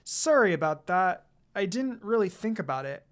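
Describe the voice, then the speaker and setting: A reader plays an emotional young girl in a fan fiction story. She sounds soft and hesitant, apologetic and eager to please. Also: mid-range voice, big pitch swings, moderate pace, natural voice.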